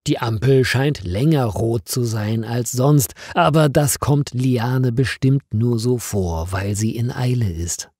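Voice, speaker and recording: A man narrating a scripted story for language learners in a deliberate, expressive way. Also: medium pitch, husky, dry recording, clean studio sound. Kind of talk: slow, clearly paced scripted story narration.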